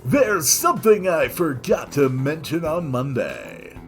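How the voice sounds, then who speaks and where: A loud, bouncy male head nurse played by a man reading aloud from a visual novel. He is chummy and grinning, pitched up, stretching his words with emphasis. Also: wildly swooping pitch, soft background music, full character voice. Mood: brisk, advisory. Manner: emphatic, drawn-out, chummy delivery.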